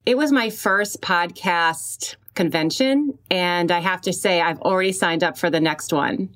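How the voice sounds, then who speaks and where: Chatty long island lady podcast host, kinda low voice, really enthusiastic. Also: measured pace, fairly bright tone. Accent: Long Island, New York. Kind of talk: upbeat podcast introduction and interview.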